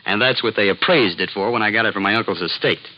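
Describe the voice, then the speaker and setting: A man's voice: fairly low pitch, fast talker, a little hiss or hum, natural voice. A male actor playing a surly, nervous, grasping American of about thirty in an old radio drama, talking in a cagey, slangy way. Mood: resentful. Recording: dull old radio recording.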